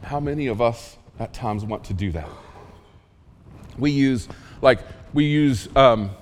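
A man preaching a sermon in a casual, emphatic way, with asides and quick questions to the congregation. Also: medium pitch, in a large hall, slight background noise. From Oklahoma. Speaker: pastor.

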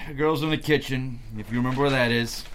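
A man's voice: mid-range voice, steady tone, quick pace, fairly bright tone, a little hiss or hum, lightly acted. A man reading the parts of a breezy, self-assured suitor and an affectionate father at a script table read. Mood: fatherly, folksy. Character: cocky younger man and gruffly affectionate middle-aged father.

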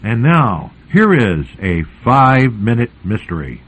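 A man's voice: medium pitch, very animated, unhurried. A man announcing a program in old-time radio style. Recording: faintly noisy vintage radio recording.

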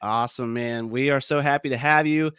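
Man, podcast host, sniffly from a cold, chatty, clear voice, dry close sound. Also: mid-range voice, fairly even intonation, a little fast, fairly bright tone, dry recording. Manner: upbeat, chatty, many ums and uhs. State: getting over a cold, a bit sniffly. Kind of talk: casual podcast chat.

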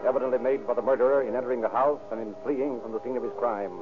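A man's voice: medium pitch, fairly even intonation, racing, phone-quality sound. A man delivering scripted narration for an old-time radio crime drama. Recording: thin old radio recording. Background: music.

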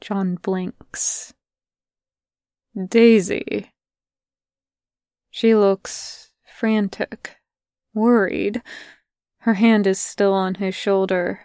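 A woman reading a fan fiction story aloud, in slow, hushed and fragmented narration. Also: mid-range voice, smooth, no room sound, studio quality. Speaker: podfic reader.

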